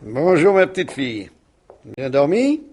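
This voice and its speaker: A man's voice: on the high side, big pitch swings, unhurried, a little mellow. An actor playing a meek retired uncle in his sixties, long henpecked, in a radio mystery play. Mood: weary resolve. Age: 60s.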